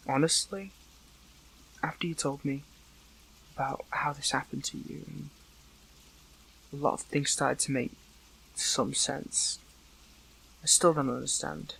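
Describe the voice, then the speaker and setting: A man playing a weakened captive young superhero in an intimate audio roleplay, hurt but earnest, speaking haltingly with emotion. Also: on the high side, steady tone, gravelly, a bit bright, slightly rough, full character voice, rough recording.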